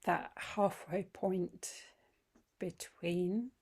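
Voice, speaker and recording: Very slow woman guiding a meditation. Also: lowish voice, steady tone, a little mellow, husky. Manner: soothing, unhurried pauses between short phrases. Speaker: yoga nidra guide. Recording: voice over chime music bed. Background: meditation music with chimes.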